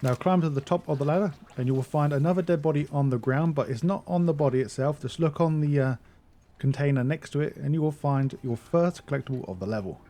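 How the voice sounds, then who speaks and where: A man's voice: fairly high pitch, fast talker, warm tone. A man giving a casual video game walkthrough as a guide narrator.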